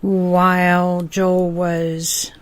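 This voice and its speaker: A woman's voice: lowish voice, monotone, extremely slow, no room sound. A middle-aged or older woman co-hosting a podcast, chatting casually in an agreeable, easygoing way. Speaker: podcast co-host. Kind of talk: casual chat.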